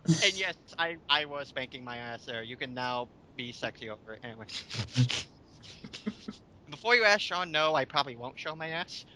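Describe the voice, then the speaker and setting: A man's voice: mid-range voice, expressive, moderate pace, noisy background. A man co-hosting a casual commentary show, sarcastic and sweary as he chats and mockingly reads things out. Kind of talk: casual chat and mocking read-aloud of online comments.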